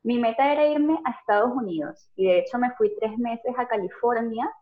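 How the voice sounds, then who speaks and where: A woman's voice: on the high side, normal speed. A Venezuelan woman, a pharmacist, telling her story as a guest in a conversational interview. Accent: Venezuelan Spanish. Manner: fluent, lively storytelling with laughs. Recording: narrow phone-like video call audio.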